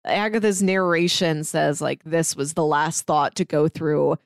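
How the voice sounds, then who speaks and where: A woman's voice: low-pitched, steady tone, measured pace, gravelly, dry recording, studio quality. A woman co-hosting a podcast, chatting casually as she talks through a story. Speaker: podcast co-host. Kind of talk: casual chat reviewing a comic book.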